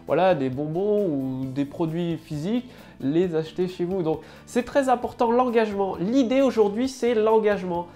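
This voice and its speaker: A woman's voice: mid-range voice, darkish tone. Chatty woman with a head cold. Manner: chatty, loose, heavy with fillers and restarts. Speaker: online marketing coach. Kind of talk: casual talking-to-camera tutorial.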